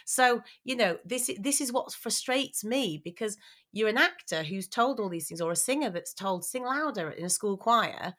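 A woman's voice: mid-range voice, expressive, normal speed, a bit bright. A woman and former opera singer co-hosting a casual podcast, chatty and emphatic as she explains things. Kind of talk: casual chat.

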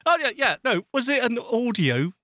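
A man's voice: very high-pitched, big pitch swings, normal speed, sharp tone, dry recording, clean studio sound. A man co-hosting a podcast in casual, jokey chat, teasing as he talks. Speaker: podcast co-host.

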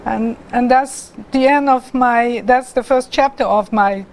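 A middle-aged woman, telling her life story as a guest artist in an interview, haltingly, with many restarts and pauses. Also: on the high side, darkish tone, a little hiss or hum. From Hong Kong.

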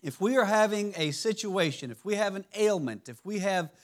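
A man with a raspy voice giving a church teaching sermon, halting and restarting often. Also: high voice, lively intonation. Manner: halting, many restarts and fillers. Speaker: lay preacher. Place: church sanctuary.

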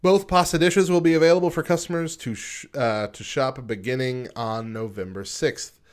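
A man's voice: medium pitch, normal speed, smooth. A man co-hosting a radio show, reading light news and chatting casually, with frequent fillers and restarts.

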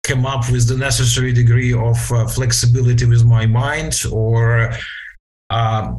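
A man in his late forties with a Ukrainian accent giving reflective interview answers, halting and searching for words in formal, wordy phrases. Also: medium pitch, level tone, a little mellow. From western Ukraine, Transcarpathia. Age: late 40s. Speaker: interview guest, lawyer.

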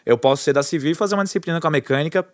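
A Brazilian man in his thirties, a guest entrepreneur telling his story in a relaxed, chatty way, with lots of fillers and restarts. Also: fairly high pitch, very fast, no room sound, clean studio sound. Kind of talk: casual storytelling interview.

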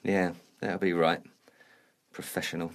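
A bitter, washed-up ex-punk from a dark comedy. He has a London accent and a fairly low voice, and talks in blunt, slangy grumbles, always ready to bristle. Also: lively intonation, a little fast. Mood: apologetic, subdued. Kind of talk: naturalistic dark comedy dialogue.

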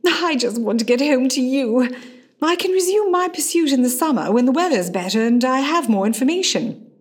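A woman acting in a radio play as a witty, self-possessed famous actress in her forties who is a hospital patient. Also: high voice. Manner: wry, dry, theatrical and affectionate.

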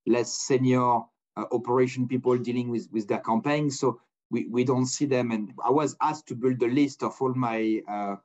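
A man's voice: mid-range voice, fairly even intonation, normal speed, a little mellow, muffled recording. Rambling man hosting a business podcast. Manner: rambling, many restarts, non-native phrasing. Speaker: podcast host. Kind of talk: casual business interview.

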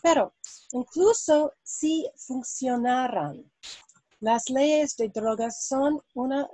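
Woman, non-native speaker, very slow, mellow dark tone, dry close sound, frequent ums. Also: a little high, dry recording, rough recording. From India. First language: not Spanish. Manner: slow, hesitant, many ums and ahs. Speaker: host. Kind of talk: interview, reading prepared questions then chatting.

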